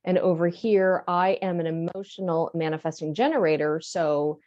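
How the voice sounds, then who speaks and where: A woman with a somewhat low voice hosts a live video broadcast. She speaks clearly and explains things to the people tuning in. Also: normal speed, darkish tone, dull audio. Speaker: host of a live stream. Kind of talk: explaining to viewers.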